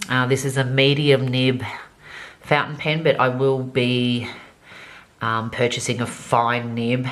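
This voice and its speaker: A woman's voice: very deep voice, level tone, takes it slowly. A woman vlogger chatting casually as she decorates her planner, slightly nasal from a cold, with many ums. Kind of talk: casual plan-with-me narration while decorating.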